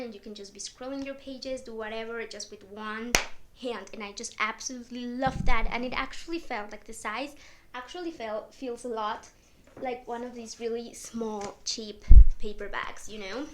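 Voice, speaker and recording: A woman's voice: fairly high pitch, background noise. A young woman, not a native English speaker, chatting casually as a book vlogger, with lots of fillers and small laughs. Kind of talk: casual chat.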